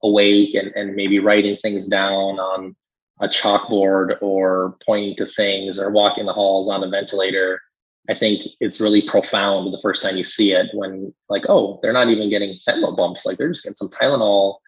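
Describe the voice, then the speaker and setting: A man's voice: low-pitched, level tone, moderate pace, slightly rough, muffled recording. A man co-hosting a medical podcast, talking casually and agreeably as he explains points with everyday examples. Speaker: pharmacist and podcast co-host. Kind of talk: casual professional discussion.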